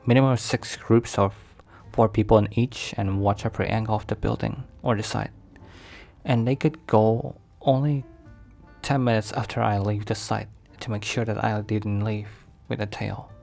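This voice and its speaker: A man acts out an overprotective mafia boss in an ASMR boyfriend roleplay. His voice sits on the low side, with formal, careful phrasing and halting pauses as he attends to his partner. Music plays behind him. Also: flat delivery, vocal fry, a little mellow. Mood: matter-of-fact, defensive.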